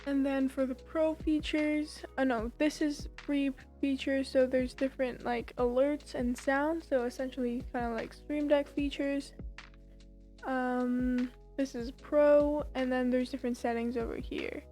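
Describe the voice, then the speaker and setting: A young woman hosting a casual software tutorial, explaining things informally step by step. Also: high-pitched, level tone, measured pace, soft background music. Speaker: tutorial host.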